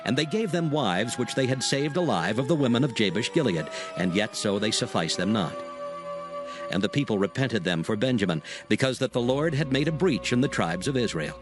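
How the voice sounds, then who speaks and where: A male narrator delivers a dramatized reading of scripture. His voice sits at an ordinary middle pitch, and music plays clearly behind it. The delivery is scripted narration. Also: lively intonation, brisk.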